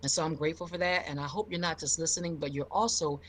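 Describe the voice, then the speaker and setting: A middle-aged American woman from Philadelphia telling her own story on a live show in an emphatic, expressive, high-energy way. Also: fast. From Philadelphia, United States.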